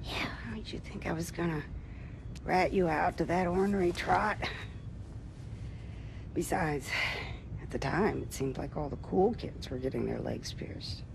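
A woman's voice: fairly low pitch, unhurried, creaky, background noise. A woman playing a kindly, plucky old woman in a video game, affectionate and wry. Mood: weak, wry. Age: older. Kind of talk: video game cutscene acting.

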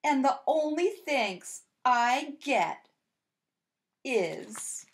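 A woman's voice: high-pitched, expressive, very slow, heavily acted. A woman voicing a big, bumbling, eager and indignant storybook spider in a theatrical, exclamatory children's read-aloud. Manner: theatrical, exclamatory, comically aggrieved.

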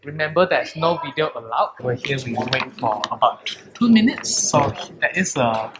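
A man's voice: mid-range voice, animated, normal speed. A man in his thirties narrating a casual travel vlog indoors into a handheld camera. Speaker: travel vlogger. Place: indoor visitor attraction. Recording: very noisy on-location camera sound.

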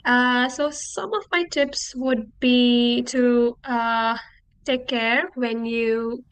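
A woman's voice: fairly high pitch, steady tone, very slow, clean tone. A young woman from Nepal, a native Nepali speaker and master's student, giving tips in a friendly, hesitant way with many fillers over a video call. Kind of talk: casual testimonial.

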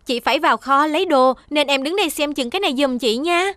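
A woman's voice: sits high, quick pace, a bit bright, dry recording. A woman voicing a friendly, caring young female mechanic in a children's cartoon dub. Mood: cheerful.

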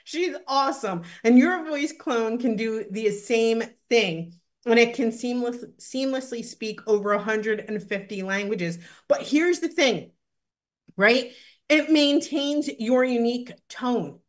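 A woman in her thirties hosting a webinar from home over a video call, presenting a product with excited, gushing talk and many fillers. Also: fairly high pitch, takes it slowly. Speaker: webinar host. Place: home room, indoors. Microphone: video call webcam.

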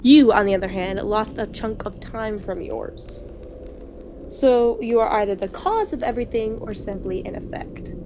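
Smug, indignant visual novel guy, played by a woman, higher pitch, slightly muffled. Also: expressive, moderate pace, close to an everyday voice. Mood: smug accusing. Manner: haughty, accusing, dramatic. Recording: muffled, noisy home recording.

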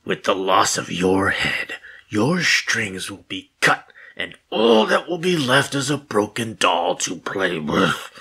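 Slow, raspy, sadistic villain. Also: male voice, fairly low pitch, bright, forceful tone, exaggerated voice. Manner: slow, menacing, mocking. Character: sadistic, gloating male Kataru killer savouring his prey. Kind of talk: audio drama acting.

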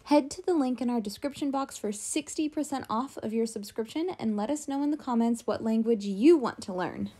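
A woman's voice: a little high, a little fast, few pauses, dark tone. A young woman co-running a bookshop, talking in an upbeat, agreeable way. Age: young adult. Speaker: bookshop co-owner. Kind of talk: casual vlog chat with ad read. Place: small bookshop indoors.

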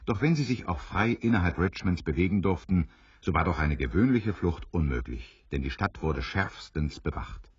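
A man narrating a dramatised story in a theatrical, deliberate way with a wry touch. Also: sits low, expressive, breathy edge, no room sound, full character voice. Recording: dry, slightly dull studio recording.

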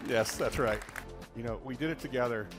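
A man's voice: medium pitch, fairly quick, over music. Hearty male guest on a keynote stage. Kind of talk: live stage remarks.

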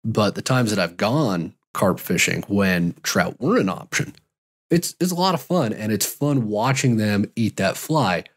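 A man in his thirties hosting a solo podcast from a home studio, answering listener questions in a relaxed, rambling way, a little raspy from a cold. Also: fairly low pitch, a little slow. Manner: relaxed, rambling, frequent uh and restarts. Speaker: podcast host and fly fishing guide. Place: home studio with gear shelves. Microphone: large studio mic, headphones on.

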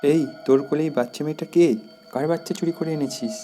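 A woman's voice: deep voice, quick pace, warm tone, faint music behind, close to an everyday voice. A woman voicing a stern grown man who scolds and threatens. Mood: scolding. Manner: harsh, scolding.

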